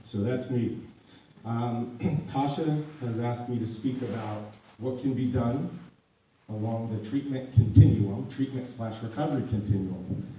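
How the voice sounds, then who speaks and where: A man's voice: mid-range voice, unhurried, warm tone, a little hiss or hum. A man who is losing his voice gives a public talk as an addiction counselor. He often restarts his words and grows emphatic as he goes on. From United States. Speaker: addiction counselor speaking at a community panel. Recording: echoey room audio from far off.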